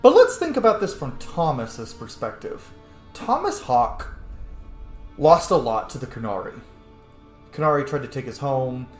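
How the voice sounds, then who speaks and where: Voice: medium pitch, very animated, measured pace. A game streamer giving casual let's-play commentary, musing aloud and swearing now and then. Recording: roomy echo, faint game music behind. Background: game music.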